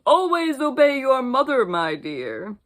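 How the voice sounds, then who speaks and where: A woman's voice: sits high, sing-song, a little slow, voice pushed up. A woman voicing a wicked, hungry male wolf in a storybook, talking with false sweetness and coaxing politeness. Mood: slyly polite.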